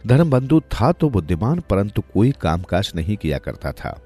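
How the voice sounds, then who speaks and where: Guy telling a moral story, low voice that moves up and down a lot, bit of music behind. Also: big pitch swings, normal speed, dark tone. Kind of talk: scripted moral folk tale narration.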